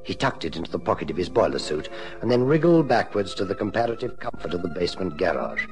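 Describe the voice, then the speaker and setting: Radio drama storyteller, deep voice, measured scripted narration, dramatic, music clearly behind. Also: male voice, big pitch swings. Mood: dramatic, suspenseful. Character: male storyteller of a detective serial.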